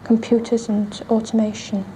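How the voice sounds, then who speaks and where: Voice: medium pitch, monotone, moderate pace, warm tone. A young girl giving earnest answers in an interview. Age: child or teenager. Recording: faintly noisy 1960s archival interview.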